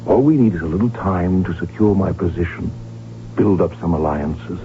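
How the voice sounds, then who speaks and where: An actor in a radio drama playing a lovestruck, determined royal heir who speaks earnestly and formally. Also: male voice, sits low, moderate pace, slight background noise, natural voice. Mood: tender, determined. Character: lovestruck, determined Habsburg archduke, heir to the throne. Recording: dull vintage radio broadcast with music.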